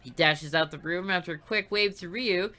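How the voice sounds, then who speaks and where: A woman's voice: lowish voice, moderate pace, bright, forceful tone. A woman reading a visual novel aloud for a let's play, with playful asides between the lines.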